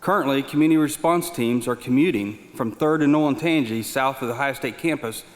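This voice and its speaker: A middle-aged man, a deputy police chief, reading a prepared speech in a formal, measured way. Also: medium pitch. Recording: echoey reverberant hall.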